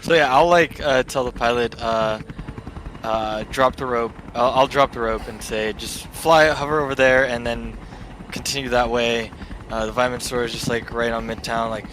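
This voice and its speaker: Casting: mid-pitched man as tabletop player, hesitant and conversational, noisy recording. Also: fairly even intonation, normal speed. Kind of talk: casual game chat. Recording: clear background noise behind voice.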